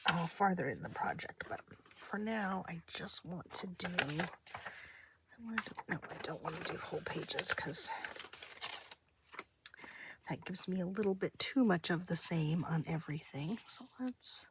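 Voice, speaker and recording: A woman's voice: fairly low pitch, measured pace, heavy background noise. A woman with a slightly stuffy, nasal voice chatting casually and thinking aloud as she hosts a craft session at her desk, meandering and interrupting herself. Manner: hesitant, meandering, self-interrupting. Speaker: craft video host.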